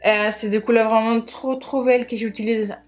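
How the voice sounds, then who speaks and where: A woman's voice: a little high, steady tone, normal speed. A woman with a Spanish accent, a native Spanish speaker, chats casually to viewers as a beauty vlogger, sometimes searching for words in her second language. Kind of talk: casual product haul chat to viewers.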